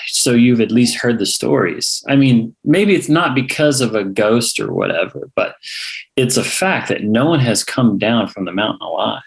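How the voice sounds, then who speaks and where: A man's voice: medium pitch, creaky, rough recording. A man reading the part of a skeptical, pushy young hipster journalist in a script table read.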